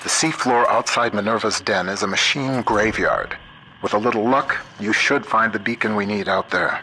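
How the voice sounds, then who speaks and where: Man playing a low-voiced, wry American scientist, noisy backdrop. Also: close to an everyday voice. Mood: steady, guiding. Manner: measured, wry, plain-spoken. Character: shrewd, principled male computer scientist, wry and plain-spoken. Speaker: guide character. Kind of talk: video game voice acting, audio diaries and radio guidance.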